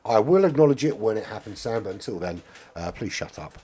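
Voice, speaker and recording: A middle-aged British man with a hoarse voice who is losing it, commentating a live sim race in a chatty, rambling way with casual swearing. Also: on the low side, expressive, brisk, dark tone, a little hiss or hum.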